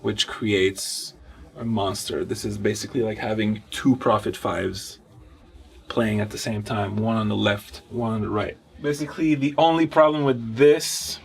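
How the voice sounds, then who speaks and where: A man in his thirties with a somewhat low voice explains synthesizers in plain, technical terms. He plays keys as he talks, recorded in a wood-paneled studio room. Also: fairly even intonation, measured pace, vocal fry, husky. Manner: technical, explanatory, while playing keyboards. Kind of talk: casual studio walkthrough.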